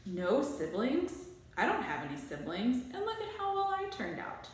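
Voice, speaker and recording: A woman's voice: fairly high pitch, normal speed. Woman as a pompous, dramatic young boy. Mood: smug. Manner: pompous, deadpan grandiosity. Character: self-important, dramatic, scornful young boy detective. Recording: very echoey small bare room.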